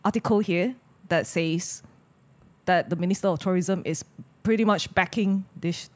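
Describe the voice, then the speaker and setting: A woman's voice: on the low side, moderate pace. A woman with a Malaysian accent speaking as a panelist, explaining background in a conversational way.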